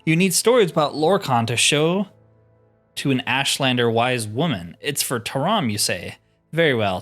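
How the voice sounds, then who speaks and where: A man's voice: medium pitch, a bit bright. Man reading as a bookish fantasy scholar. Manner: formal, bookish diction. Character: helpful learned fantasy scholar giving directions.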